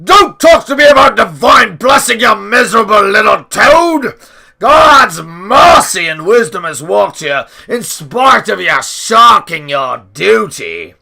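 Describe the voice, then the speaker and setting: A man's voice: high voice, very animated, slow pace, gravelly, bright, forceful tone, pitched above the performer's own voice, heavily acted. Man acting a thundering, imperious old lord. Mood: thundering anger. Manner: blustering, thundering when crossed. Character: imperious, hot-tempered old lord, a doting grandfather.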